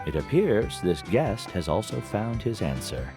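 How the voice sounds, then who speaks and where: A man playing a calm, wise old mentor in a video game, solemn and deliberate in his speech. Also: on the low side. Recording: music behind.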